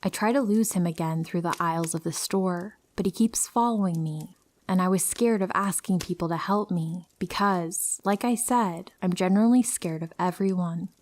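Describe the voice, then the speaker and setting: A woman narrating true horror stories in a calm, steady first-person reading. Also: on the low side, moderate pace.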